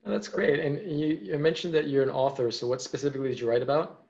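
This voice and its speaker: Enthusiastic podcast host, lo-fi sound. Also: male voice, medium pitch, fast talker, darkish tone. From New York. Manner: enthusiastic, rambling questions. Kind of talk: casual podcast interview. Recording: dull lo-fi sound.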